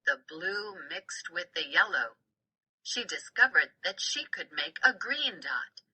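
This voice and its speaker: A woman narrates a children's story with a very bright, crisp-edged tone. Her pitch sits a little low for a woman, and she reads slowly and deliberately, with expression. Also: sing-song, rough recording. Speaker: storybook reader.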